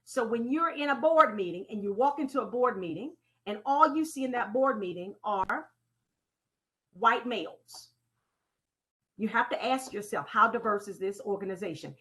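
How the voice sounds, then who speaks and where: A woman leading an interactive training talk in a deliberate, teacherly way, putting questions to her audience and repeating key phrases. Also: fairly high pitch, expressive, pushed and bright, poor audio. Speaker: presenter leading a training session. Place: online conference call with slides.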